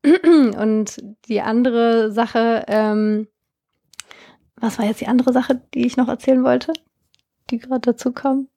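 Chatty young German woman, slightly high. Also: takes it slowly, dark tone. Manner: chatty, many fillers and restarts. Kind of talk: casual interview.

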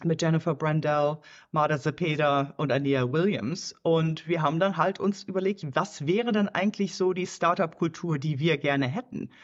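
A woman's voice: deep voice, normal speed. A woman in her fifties from Germany whose German carries an American English accent, speaking as a podcast guest over a video call, searching for words with many fillers and restarts and slipping into English. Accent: German with American English influence. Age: 50s. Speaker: guest, startup founder. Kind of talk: casual podcast interview. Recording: muffled video-call audio.

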